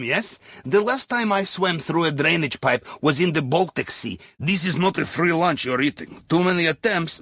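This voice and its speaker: A man's voice: fairly high pitch, bright, forceful tone, husky, dry recording, full character voice. A man playing a wry, jovial Russian submarine captain who guides a heist crew in a video game, speaking with a Russian accent and a conspiratorial manner. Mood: wry, amused. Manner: wry, conspiratorial, folksy asides. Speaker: mission guide giving instructions. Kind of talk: video game mission dialogue.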